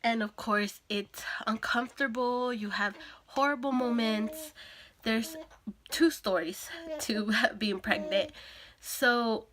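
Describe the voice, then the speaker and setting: A woman's voice: on the high side, takes it slowly, fairly bright tone. A young woman vlogger with a sore throat and a congested nose, chatting casually to camera with lots of fillers. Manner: chatty, with frequent fillers and laughs.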